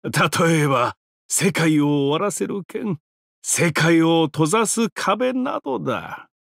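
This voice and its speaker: A man's voice: a little high, animated, vocal fry, a bit bright, no room sound, studio quality, heavily acted. An actor voicing a depraved, mocking trickster giant-god held prisoner in a game, theatrical and taunting, drawing out his words. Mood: smug, theatrical. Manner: theatrical, taunting, drawn-out words, sudden swoops and cackles. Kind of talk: theatrical game character voice lines.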